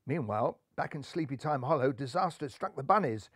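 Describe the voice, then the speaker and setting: A man's voice: medium pitch, lively intonation, a little fast, a bit bright, husky, no room sound, clean studio sound. A man narrating a children's animal story aloud in a playful, theatrical way.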